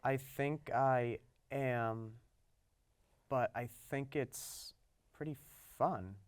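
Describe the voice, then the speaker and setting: A woman's voice: rumbling low, extremely slow, darkish tone, clear, resonant tone, dry recording, full character voice. A woman playing an anxious, wonderstruck creative soul in an audio drama, casual and hesitant. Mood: awed, amused. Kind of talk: naturalistic audio drama acting.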